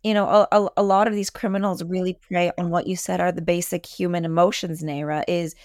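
A woman's voice: lowish voice, little pitch movement, brisk, a little mellow, no echo, studio quality. A woman speaking as an expert guest, conversational but earnest, emphatic and articulate. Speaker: fintech industry expert guest.

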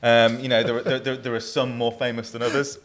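A man's voice: mid-range voice, little pitch movement, a little fast. A man with a southern English accent, a brewer being interviewed, who talks in a rambling way with many restarts. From southern England.

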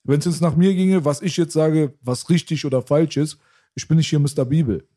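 A man's voice: a little high, steady tone, moderate pace, warm tone. A man in a casual chat about rap, talking in loose slang and rambling on, tossing in "bro" a lot. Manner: slangy, rambling, says "Digga" and "Bruder" often.

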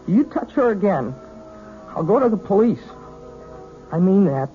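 A man's voice: sits high, big pitch swings, unhurried, soft background music. An actor playing Ronnie, an earnest, nervous but defiant young man in a 1970s radio drama. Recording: dull vintage radio broadcast audio.

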